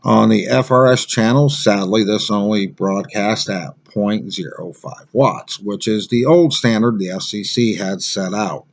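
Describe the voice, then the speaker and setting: A man's voice: on the low side, unhurried, vocal fry, hardly any pauses. An American man giving a casual, unscripted gear review as a survival instructor, plain-spoken and rambling.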